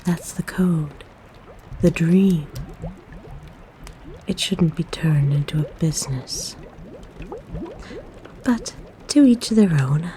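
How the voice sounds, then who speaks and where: A mellow, unhurried forest witch, acted by a woman, speaks softly and intimately, as if to a guest at her side. She is a nurturing, flirty healer, with a low, breathy voice made for ASMR roleplay. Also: lively intonation, slight background noise. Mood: calm, confiding. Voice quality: soft, breathy, whispery. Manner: gentle, coaxing, intimate. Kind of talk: ASMR roleplay, soft-spoken to the listener.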